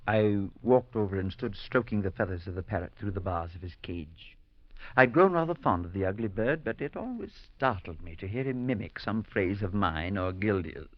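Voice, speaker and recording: Earnest kindly priest, radio narrator. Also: male voice, on the low side, animated, no echo. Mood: narrating. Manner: earnest, measured storytelling. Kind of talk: 1940s radio acting. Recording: dull 1940s radio broadcast.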